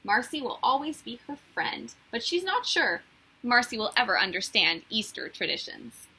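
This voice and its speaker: A woman reading a children's storybook aloud as a teacher would, with expressive narration. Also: on the high side, normal speed, breathy edge, low-quality recording.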